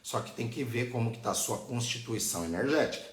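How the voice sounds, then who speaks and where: A man's voice: medium pitch, lively intonation, quick pace. A Brazilian man teaching informally on a live stream, didactic and often checking that listeners follow. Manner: didactic, frequent checking tags. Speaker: religious teacher hosting a live online class. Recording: echoey, roomy sound.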